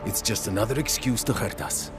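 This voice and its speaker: A man's voice: lowish voice, music in the background, lightly acted. An actor playing a tired, nervous augmented repairman who halts and fumbles for words in a video game cutscene. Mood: weary. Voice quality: halting, weary, fumbling for words.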